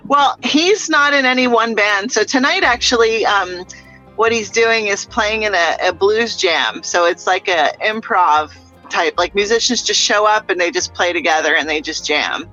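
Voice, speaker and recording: Bright-voiced frank woman doctor. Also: medium pitch, normal speed, lo-fi sound, soft background music. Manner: frank and encouraging, many "you know" fillers. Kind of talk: casual chat.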